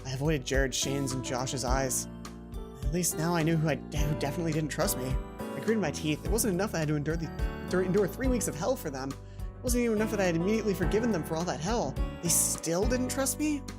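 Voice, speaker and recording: Let's play narrator, mid-pitched, theatrical reading with casual asides and small stumbles, game music behind. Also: lively intonation, quick pace. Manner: dramatic read-aloud mixed with offhand asides, stumbles over lines. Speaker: gaming video host. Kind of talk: let's play, reading visual novel aloud with casual commentary.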